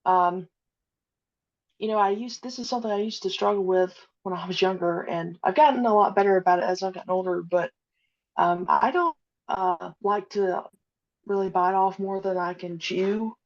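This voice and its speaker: A woman's voice: mid-range voice, normal speed. Hesitant woman on a dull lo-fi line. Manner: hesitant, many ums and uhs. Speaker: podcast guest, audio drama creator. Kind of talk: casual interview chat. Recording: dull lo-fi audio.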